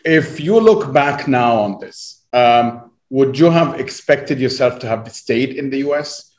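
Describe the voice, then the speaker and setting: A man's voice: mid-range voice, animated, measured pace. Echoey, reflective male interviewer. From Egypt. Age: 40s. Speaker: interview host. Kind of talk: conversational long-form interview. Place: remote video call, echoey room. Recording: echoey room over video call.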